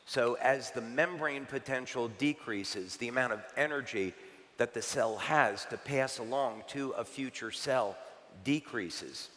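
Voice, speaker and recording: A man from the United States giving a conference presentation at a convention, relaxed, with light asides and filler words. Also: mid-range voice, unhurried. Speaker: convention speaker. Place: large convention hall. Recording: reverberant hall.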